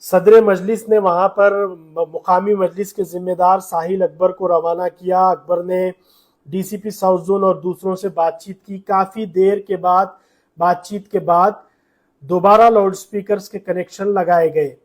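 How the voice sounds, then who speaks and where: A man's voice: high voice, level tone, unhurried. A man with a Hyderabadi Urdu accent narrating a news report with commentary.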